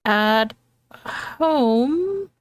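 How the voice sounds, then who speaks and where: A woman chats her way through setting up a tabletop game scene. Her pace is very relaxed and slow, and the sound is dry with no room around it. She thinks aloud and poses questions. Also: high voice, no echo. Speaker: tabletop role-playing game player.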